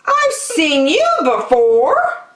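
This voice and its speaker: Selfish lady bird for a storybook: very high, screechy, bossy, in an echoey room. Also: huge pitch swings, very slow, a bit bright, far from the mic, heavily acted. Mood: delighted surprise. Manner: screeching, exclaiming, indignant. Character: lazy, selfish, shrill female bird, bossy runaway mother. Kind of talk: children's storybook read-aloud. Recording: roomy echo.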